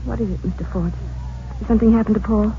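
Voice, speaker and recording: An actress playing the polite, worried wife of a missing scientist in an old radio drama. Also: female voice, mid-range voice, steady tone, fast talker, lightly acted. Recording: tinny, noisy old radio recording.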